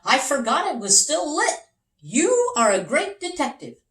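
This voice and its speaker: A woman's voice: high-pitched, huge pitch swings, a little slow, a bit bright, far from the mic, voice pushed up, exaggerated voice. A woman voicing a jovial, forgetful male ship captain from a storybook, hearty and exclaiming. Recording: roomy, echoing sound.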